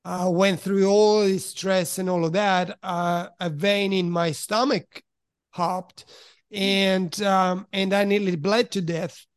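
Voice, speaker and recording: A middle-aged man from Italy who grew up in Switzerland, with a high voice, recounting his past in a frank, reflective way. He pauses to search for the right words as he talks. The sound is dry and close, with no room echo. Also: steady tone, clean tone. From Italy, raised in Switzerland. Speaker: podcast guest. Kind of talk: candid personal storytelling in conversation.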